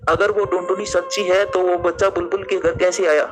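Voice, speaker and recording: A man's voice: high voice, little pitch movement, fairly quick, lightly acted. A man voicing an earnest, concerned adult male bird in a cartoon, speaking seriously.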